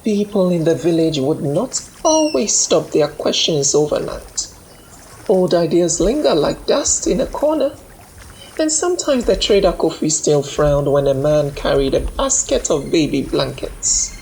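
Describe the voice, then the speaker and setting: A woman narrating a scripted bedtime folktale in a calm, measured manner. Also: sits low, slight background noise, low-quality recording. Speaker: storyteller.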